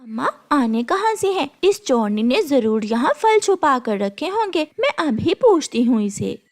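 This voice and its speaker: A woman acts out a spiteful young stepsister bird from a kids' cartoon. The voice is very high, whiny and animated, always tattling to mama. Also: measured pace. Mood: accusing. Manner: tattling, calls to mama repeatedly. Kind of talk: children's cartoon story acting.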